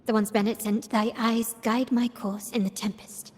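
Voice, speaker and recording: A woman playing a composed, analytical young heiress in a video game, speaking in a measured, formal way. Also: fairly high pitch, level tone, a little mellow, long reverb tail, natural voice. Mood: pondering. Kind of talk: scripted game dialogue.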